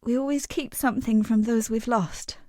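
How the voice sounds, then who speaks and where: A dry, close recording of an actress as a young exile woman in a dramatic fantasy game. Her voice sits fairly high, and she speaks with guarded, earnest grief. The delivery is subdued and halting. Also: steady tone, dark tone, dry recording, lightly acted. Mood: stricken, grieving. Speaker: game non-player character. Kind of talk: dramatic video game voice acting.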